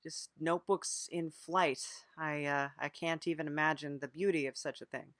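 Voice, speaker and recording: A woman with a fairly low voice hosts an improvised comedy interview. She speaks haltingly, with a deadpan manner. The recording is very dry, without room sound. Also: dry recording. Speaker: podcast host.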